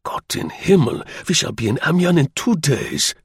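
A man voicing a pompous, excitable German general in an audiobook, with a high, querulous, imperious delivery. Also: moderate pace, husky, no echo, studio quality, heavily acted. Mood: feverishly excited. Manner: imperious, exclamatory.

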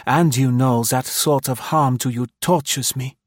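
A man's voice: medium pitch, fairly even intonation, measured pace, no room sound, clean studio sound, pitched above the performer's own voice, lightly acted. A man voicing an imperious, passionate young female spy, speaking with heightened emphasis.

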